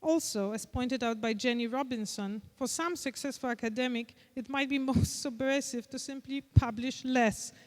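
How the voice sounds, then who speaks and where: A woman with a Polish accent, a conference speaker, reading a prepared lecture in a measured, deliberate way. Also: sits high, big-room sound. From Poland. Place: large conference hall.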